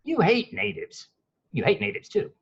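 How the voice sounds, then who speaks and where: A man's voice: high voice, huge pitch swings, normal speed, a bit bright, slightly rough, highs cut off, exaggerated voice. A man acting a fawning, soothing pirate sidekick who coaxes and placates in a theatrical script table read. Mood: coaxing. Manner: coaxing, placating.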